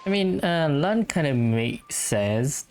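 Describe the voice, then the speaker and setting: A man giving casual let's-play commentary, musing aloud in a halting way. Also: a little high, animated, darkish tone, faint music behind. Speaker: gaming streamer.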